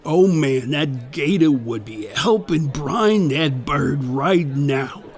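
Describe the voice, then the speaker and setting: A laid-back, folksy hotline helper with a slightly high voice, talking a caller through her trouble in a comedy sketch, as a man plays him. He sounds relaxed and unbothered. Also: takes it slowly, soft background music. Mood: easygoing. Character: easygoing turkey hotline helper. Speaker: hotline operator.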